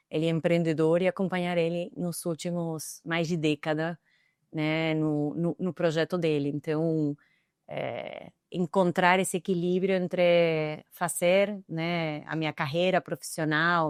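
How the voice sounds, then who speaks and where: A middle-aged woman with an Argentine accent, a native Spanish speaker, answering interview questions thoughtfully and often pausing on hesitant fillers. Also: low-pitched, clear, resonant tone, no echo, clean studio sound. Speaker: guest, tech executive.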